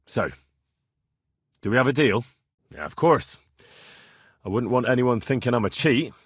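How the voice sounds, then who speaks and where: Husky, animated young medieval swordsman. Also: mid-range voice, unhurried, no echo, clean studio sound. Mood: matter-of-fact. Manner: blunt, haggling, cocky. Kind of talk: video game character dialogue.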